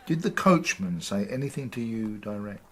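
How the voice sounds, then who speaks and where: An actor playing a persistent but civil police detective, putting short, probing questions in a radio drama. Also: male voice, lowish voice, animated, normal speed, a bit bright, slightly rough. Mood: inquisitive, persistent.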